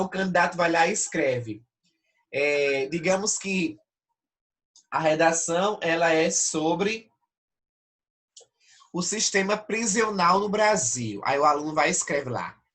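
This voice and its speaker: A man's voice: fairly high pitch, a bit bright. A male teacher with a Brazilian Portuguese accent, nasal from allergic rhinitis, explains essay criteria step by step in an online class and keeps checking with his students.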